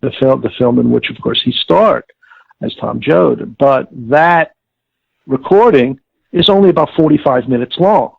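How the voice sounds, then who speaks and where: A man giving reflective interview answers as an expert guest, halting and emphatic, repeating words for stress. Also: mid-range voice, vocal fry, husky, no echo. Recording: narrow, tinny phone-like line.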